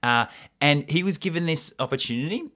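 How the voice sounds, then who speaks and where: A man's voice: a little high, lively intonation, brisk, bright, forceful tone. A man hosting a casual film podcast, telling things with enthusiasm and acting out remarks, close on a microphone. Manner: enthusiastic storyteller, acts out imagined remarks. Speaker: podcast host.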